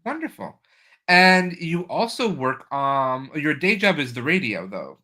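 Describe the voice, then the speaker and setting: Male host, bright forceful voice, eager and full of fillers. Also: a little high, big pitch swings. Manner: enthusiastic, halting with many fillers. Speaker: podcast host. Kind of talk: casual video-call interview. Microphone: video call.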